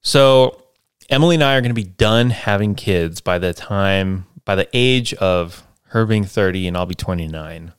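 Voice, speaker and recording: A man's voice: sits low, normal speed, smooth, dry recording, studio quality. A man in his twenties hosting a podcast, talking through his life plans in a loose, rambling way with many fillers and tag questions. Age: 20s. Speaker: podcast host. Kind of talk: casual solo podcast monologue.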